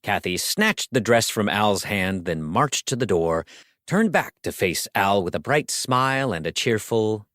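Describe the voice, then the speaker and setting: Animated male audiobook narrator, dry sound. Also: on the low side, measured pace, no room sound. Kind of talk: dramatic scripted narration.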